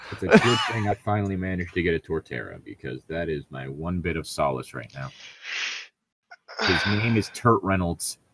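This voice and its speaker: A man's voice: sits low, sing-song, moderate pace, fairly bright tone, slight background noise. A man co-hosting a podcast in casual banter, with a sardonic, sweary way of talking. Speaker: podcast co-host.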